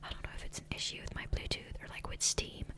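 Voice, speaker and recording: Softly whispering ASMR woman. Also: fast talker. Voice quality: breathy unvoiced whisper. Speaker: ASMR gaming video creator. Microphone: close USB condenser microphone.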